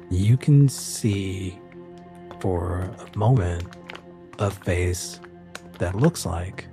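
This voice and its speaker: Male game master, low register, unhurried and suspenseful horror narration, clear music underneath. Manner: slow, suspenseful, deliberate phrasing.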